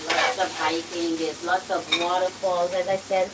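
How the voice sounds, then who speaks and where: A woman's voice: on the low side, little pitch movement, normal speed, recorded from a distance. Chatty Trinidadian woman cooking at home. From Dominica, raised in Trinidad and Tobago. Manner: chatty, rambling, talks while cooking. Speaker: cooking channel host. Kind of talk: casual cooking vlog chat. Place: home kitchen. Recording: loud kitchen noise, voice off-mic. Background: sizzling food frying.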